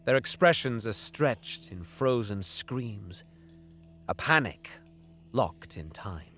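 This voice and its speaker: An actor playing a bitter young alien prince, narrating an audio drama deliberately and sometimes dropping into hissed whispers. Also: male voice, lowish voice, lively intonation, fairly bright tone, faint music behind, exaggerated voice. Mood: brooding.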